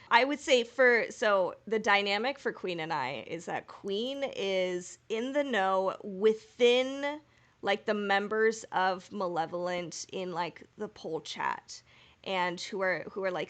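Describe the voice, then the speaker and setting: A woman's voice: mid-range voice, fairly bright tone. Woman, podcast co-host, gushing fan chatter, emphatic repeats, casual delivery. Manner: gushing, emphatic repetition of words.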